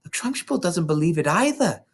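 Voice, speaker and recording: An excited young schoolgirl played by a reader confides in hushed storybook asides. The pitch sits raised and moves about in a lively way. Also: moderate pace, slightly rough. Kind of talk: storybook character voice.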